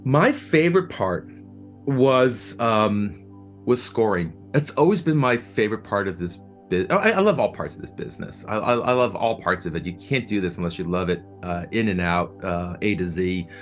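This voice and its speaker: Deep-voiced man talking reflectively, music behind. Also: expressive. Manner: halting, repeats words as he searches for phrasing; earnest, enthusiastic. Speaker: audio drama producer being interviewed. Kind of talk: reflective interview talk.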